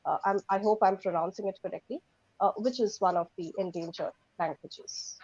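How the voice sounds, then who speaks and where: A woman's voice: medium pitch, normal speed, darkish tone, dry recording. Formal woman moderator, dull lo-fi sound. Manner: formal, courteous. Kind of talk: moderating a panel.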